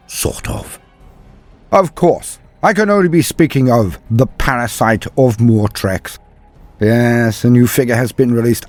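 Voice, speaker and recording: A low-voiced man narrates lore as a show host, with a husky grain and a very animated delivery. His scripted address is grand, formal and theatrical. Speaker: host of a fantasy lore channel.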